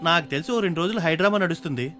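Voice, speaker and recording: A man's voice: a little high, lively intonation, racing, over music, natural voice. An actor playing a curious, chatty male onlooker in a film, speculating in casual colloquial speech.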